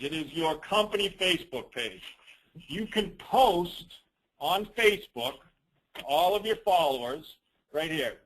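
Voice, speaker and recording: A man presenting a business training call as an informal, rambling software demo, with teasing, self-deprecating asides. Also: on the high side, low-quality recording.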